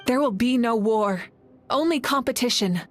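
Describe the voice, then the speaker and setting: A woman playing a noble, resolute female war leader in a video game, speaking earnestly, steadily and calmly. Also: on the high side, normal speed, close to an everyday voice. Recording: faint music behind.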